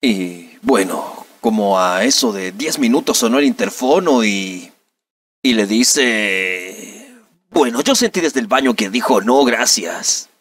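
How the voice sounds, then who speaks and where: A man plays an aggrieved young man giving a press interview in a comedic amateur dub. His husky voice swings widely in pitch, melodramatic and indignant, in neutral Latin American Spanish. It sounds slightly away from the mic. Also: animated, slow pace, a bit bright, far from the mic, heavily acted. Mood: aggrieved recounting. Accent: Latin American neutral dubbing Spanish. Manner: melodramatic, indignant, dubbed-film diction. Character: aggrieved young man recounting a humiliation to reporters.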